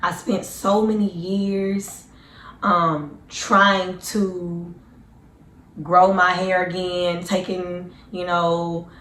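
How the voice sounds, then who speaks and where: A woman in her twenties with a hoarse, deep, raspy voice, chatting earnestly and casually to her viewers as a vlogger. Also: level tone, very slow, a bit bright, echoey room, rough recording. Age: 20s. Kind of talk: casual personal storytelling to camera.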